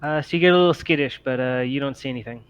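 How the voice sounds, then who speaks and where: A man's voice: mid-range voice, racing, smooth. A man speaking casually as game master of a tabletop roleplaying game over a voice call. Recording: muffled online voice call.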